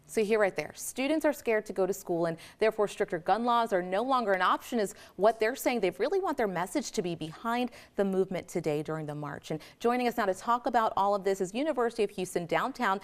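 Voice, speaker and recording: A woman anchoring a local morning TV newscast in a studio, reading stories and interviewing a guest in a brisk, clear newscaster manner. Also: mid-range voice, lively intonation. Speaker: news anchor. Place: TV news studio.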